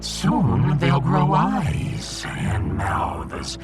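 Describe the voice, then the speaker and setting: A man's voice: medium pitch, huge pitch swings, drawn-out words, loud music behind the voice, heavily acted. A man playing a menacing, boastful alien fish emperor in a science fiction audio drama, making grandiose threats. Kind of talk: audiodrama villain acting.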